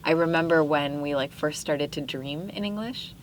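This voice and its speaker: A young woman with a slightly low voice tells stories from her youth in a loose, chatty way, with lots of "like" and "um". She sounds animated when she gets going. A faint hiss of noise sits under the recording. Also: normal speed. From Pakistan. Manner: chatty, animated storytelling with frequent fillers. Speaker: podcast guest. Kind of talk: casual interview chat.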